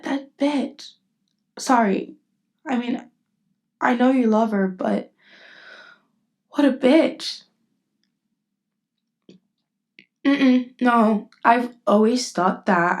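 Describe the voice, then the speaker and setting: A woman's voice: fairly high pitch, darkish tone. A woman voice acting a caring, indignant best friend in an intimate ASMR roleplay, speaking casually and bluntly. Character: protective, indignant female best friend comforting someone. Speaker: voice actress.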